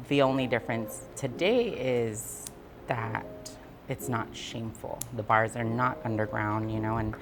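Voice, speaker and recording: A woman from Guam talking casually and reflectively in an interview, candid and conversational, with emphasis on key words. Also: a bass voice. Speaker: interviewee, freelance designer and builder. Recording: background music under the voice. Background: music.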